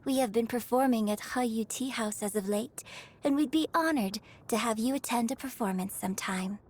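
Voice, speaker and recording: A woman's voice: on the high side, darkish tone. A woman voicing a gracious young opera troupe director in a video game, with formal, poised and theatrical phrasing. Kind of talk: scripted video game character lines. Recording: music playing behind the voice. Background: music.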